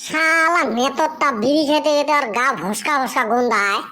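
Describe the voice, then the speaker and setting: A man's voice: very high voice, normal speed, pushed and bright. A man voicing a cheeky, boastful village layabout in a comic cartoon. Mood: teasing.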